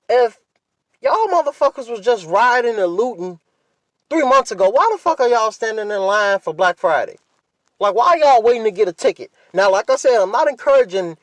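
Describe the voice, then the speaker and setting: Young american guy doing a solo podcast, kinda high voice, dry sound, laid back rambling, cusses a lot. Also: no echo. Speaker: podcast host. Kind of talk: casual solo podcast chat.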